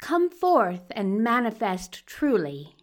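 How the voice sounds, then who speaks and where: A woman's voice: fairly high pitch, big pitch swings, takes it slowly. A woman voicing a menacing, contemptuous male villain doctor with a dangerous, commanding manner in an audio drama. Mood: cold, dangerous. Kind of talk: audio fiction acting.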